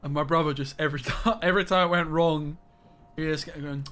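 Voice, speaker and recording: A man's voice: a little high, steady tone, fairly quick, a bit bright. A young man chatting casually with viewers on a live game stream from his bedroom, rambling and greeting people by name.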